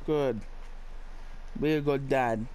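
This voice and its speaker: A man's voice: medium pitch, slow, a little mellow, clean tone, rough recording. A man doing casual gameplay commentary, muttering short, sweary remarks to himself as he plays. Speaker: gamer, playthrough host. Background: game ambience.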